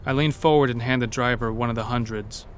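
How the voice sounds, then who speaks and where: A man playing a weary, brooding character who narrates his own story in an introspective, measured way. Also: medium pitch, steady tone, lightly acted. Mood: brooding, wistful. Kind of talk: first-person audio drama narration.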